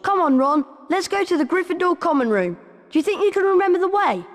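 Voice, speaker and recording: An earnest young British boy from a video game, pitched high with animated swings. The voice rings in a long reverb over music. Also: quick pace.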